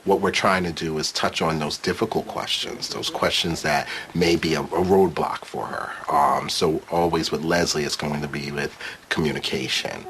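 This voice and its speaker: A man's voice: very deep voice, steady tone, normal speed, a bit bright, a little hiss or hum, rough recording. A man working as a job coach, running a mock job interview in an encouraging, upbeat way. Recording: music underneath.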